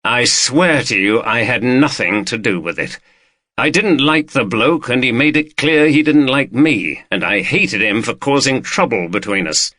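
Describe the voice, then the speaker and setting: A man playing a weary partner who speaks calmly and reassuringly, with a firm edge. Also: medium pitch, measured pace, no echo. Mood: soothing. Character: weary, soothing man with a past temper.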